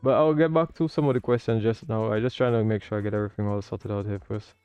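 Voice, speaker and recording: A man's voice: lowish voice, steady tone, fast talker, a little mellow, clear, resonant tone, dry recording, lo-fi sound, faint music behind. A man hosting a livestream, talking casually as he comments and explains as a learner. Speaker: livestream host learning air traffic control.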